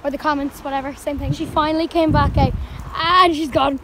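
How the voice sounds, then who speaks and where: A young girl chats to her phone camera at arm's length, high-pitched and eager, apologising as she goes. Wind and surf hiss loudly behind her on the phone mic, outdoors on a sunny beach. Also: a little fast. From Ireland. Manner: chatty, apologetic, excitable. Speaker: vlogger. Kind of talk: casual vlog to camera.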